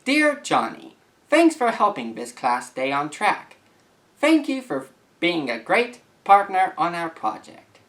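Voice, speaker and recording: Young man with very lively, swooping intonation. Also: sits high, slow, far from the mic. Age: young adult, about 18. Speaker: YouTuber. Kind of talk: reading messages aloud and commenting to camera.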